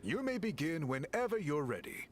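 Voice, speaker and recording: An actor playing a composed, scholarly male researcher in a video game, speaking in a formal, measured, assured manner. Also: fairly high pitch, sing-song, background noise. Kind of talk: video game character dialogue.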